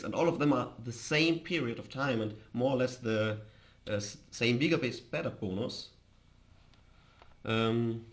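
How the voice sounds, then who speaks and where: A man from Austria with an Austrian accent and German as his first language, chatting casually on a livestream in a hesitant, halting way. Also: mid-range voice, a bit bright. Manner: hesitant, halting, chuckles often. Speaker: live streamer, host.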